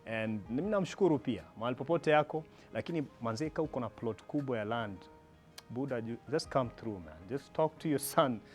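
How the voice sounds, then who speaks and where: A man's voice: medium pitch, animated, a little fast. Kenyan guy in his 30s telling his life story, laid back, bit of music faint behind. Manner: reflective storytelling, playful and joking. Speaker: journalist and guest. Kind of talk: casual chat mixing English and Sheng slang.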